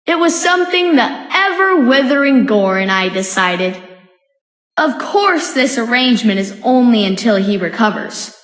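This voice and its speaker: A woman playing a brisk, teasing, authoritative fantasy dignitary in a video game. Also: on the high side, lively intonation, fairly bright tone. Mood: wry. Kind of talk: dramatic video game voice acting. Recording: echoey hall reverb.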